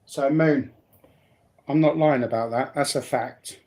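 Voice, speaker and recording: A man's voice: mid-range voice, unhurried, a little mellow. Blunt, emphatic man talking personally. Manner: blunt, repeats phrases for emphasis. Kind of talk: emphatic personal talk, partly confrontational.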